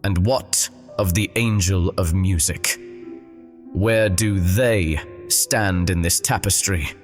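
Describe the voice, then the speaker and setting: A man's voice: low voice, slow pace, soft background music. A man playing an earnest, pleading, lovelorn young man in an audio drama, with a formal, yearning delivery.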